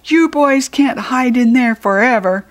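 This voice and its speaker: A woman's voice: a little high, unhurried, pitched above the performer's own voice, lightly acted. A woman voicing an evil, boastful giant robot toilet monster in a children's storybook, menacing and gloating. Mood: angry threat.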